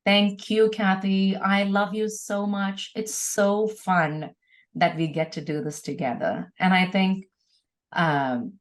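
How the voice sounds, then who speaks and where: A woman's voice: medium pitch, steady tone, slow. A woman speaking as a podcast guest, earnest and emphatic, building encouragement through repeated phrases. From India. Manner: earnest, emphatic, with rhetorical repetition. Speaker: podcast guest, ministry leader. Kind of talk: conversational interview, encouraging testimony.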